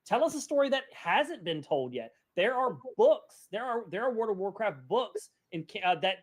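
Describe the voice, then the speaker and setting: Male podcast host, high-voiced and expressive, chatty, restarts his own sentences. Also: moderate pace. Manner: animated, self-interrupting. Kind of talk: casual podcast chat.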